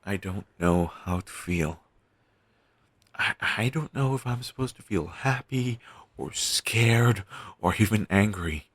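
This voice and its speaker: A man playing a pessimistic zombie best friend with dark sarcasm, speaking haltingly in an intimate audio roleplay. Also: lowish voice, animated, dry recording, heavily acted. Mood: troubled, confessional. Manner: halting, sighing, sardonic delivery with trailing hesitations.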